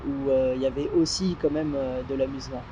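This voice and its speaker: A man's voice: medium pitch, fairly even intonation, a little fast, dark tone, dull audio, slight background noise. A man working as an interviewer, putting questions to his guest in a conversational style.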